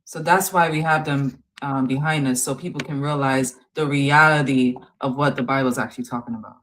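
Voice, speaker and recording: A woman co-hosting a religious live-stream panel, explaining to callers in a calm, measured way. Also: rumbling low, rough recording.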